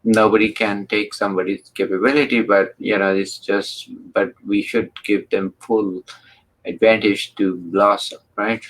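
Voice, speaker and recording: A man hosting an interview, speaking slowly and haltingly with many fillers. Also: on the low side, level tone, fairly bright tone, poor audio. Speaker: interview host. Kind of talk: casual interview talk.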